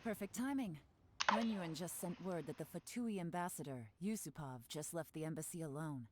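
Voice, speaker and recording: An actress playing a cool, confident female spy in a video game, calm and commanding. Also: low voice, moderate pace, heavy background noise, lightly acted.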